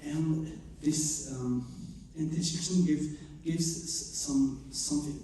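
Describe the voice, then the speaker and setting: A choreographer from Morocco, answering audience questions after a show and searching for English words. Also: male voice, on the high side, takes it slowly, dark tone, distant mic. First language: not English. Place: theater stage. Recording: echoey theater heard from afar.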